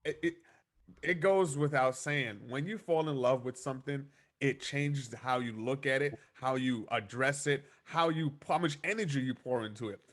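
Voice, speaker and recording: A man's voice: mid-range voice, moderate pace, fairly bright tone. A man hosting a podcast interview, effusive and praising, often restarting his sentences. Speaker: podcast host. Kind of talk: conversational interview.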